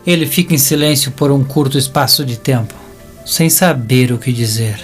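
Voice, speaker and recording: A man's voice: medium pitch, normal speed, faint music behind. A man with a Brazilian accent reading scripted story narration for an audio drama.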